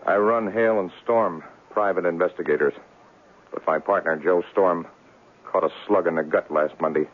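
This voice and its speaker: An actor playing a tough, cocky private investigator in a 1970s radio drama, talking in clipped slang. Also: male voice, low voice, normal speed, a little hiss or hum, natural voice. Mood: hard-boiled, narrating. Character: tough hard-boiled male private investigator, cynical and cocky. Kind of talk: 1970s radio drama acting, noir narration. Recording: muffled old radio broadcast.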